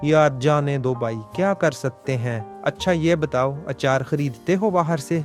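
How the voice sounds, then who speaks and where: A man voicing an earnest, humble village farmer and husband in a Hindi cartoon story, with expressive delivery. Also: on the high side, measured pace, lightly acted. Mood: cheerful, eager. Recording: background music under the voice.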